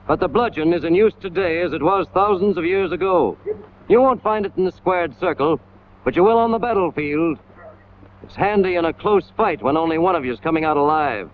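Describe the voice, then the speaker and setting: A man's voice: fairly high pitch, lively intonation, brisk. An American man delivering scripted 1940s military training-film narration in an emphatic, hard-hitting manner with clipped phrases. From United States. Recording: scratchy, tinny 1940s film soundtrack, loud noise.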